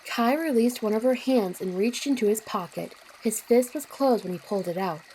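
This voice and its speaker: A woman's voice: fairly high pitch, moderate pace, mellow, low-quality recording. A woman reading scripted story narration as the narrator of an audio drama. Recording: clear noise with water sounds behind. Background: trickling water ambience.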